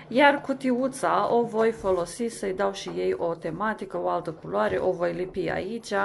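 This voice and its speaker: A woman's voice: medium pitch, gravelly, slight background noise. A young woman chatting in a casual voice-over about cleaning and organizing her kitchen, rambling with fillers and restarts. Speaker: home vlogger.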